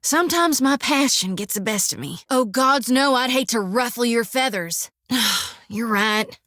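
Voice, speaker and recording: Xoti, a fiery folksy priestess. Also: female voice, fairly high pitch, lively intonation, unhurried, bright, forceful tone, dry recording, studio quality. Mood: rueful. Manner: folksy, indignant, blunt. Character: devout fiery young woman priest, folksy, quick-tempered. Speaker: companion character. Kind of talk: video game voice acting. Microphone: dry studio mic.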